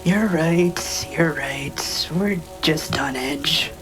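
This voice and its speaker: A woman playing a tough, blunt orc giantess in a noir audio drama, slangy and unhurried. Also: low-pitched, over music, low-quality recording. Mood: tense, resigned. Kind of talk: scripted audio drama dialogue.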